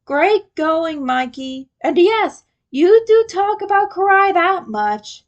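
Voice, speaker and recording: A woman's voice: very high-pitched, animated, slow pace, dull audio, voice pushed up, heavily acted. A woman playing a dry, matter-of-fact teenage male turtle in a fan story. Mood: exasperated.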